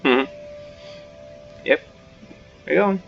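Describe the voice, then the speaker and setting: A man's voice: a little high, slow, vocal fry, highs cut off, soft background music. A man commenting casually on a game as he plays, musing and thinking aloud. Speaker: gaming channel host.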